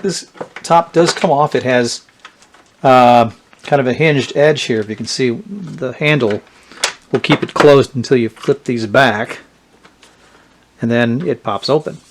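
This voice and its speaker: A man with a mid-pitched voice presents vintage electronics in a casual demonstration style. He is unhurried and halting, working out his thoughts aloud as he explains the item in front of him. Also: background noise. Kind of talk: casual product demonstration vlog.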